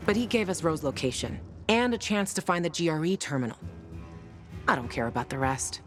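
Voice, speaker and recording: A woman's voice: sits low, sing-song, brisk, music in the background. An actress playing a tough, blunt, foul-mouthed female fighter in a video game, with a sardonic manner. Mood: frank, assured. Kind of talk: video game cutscene acting.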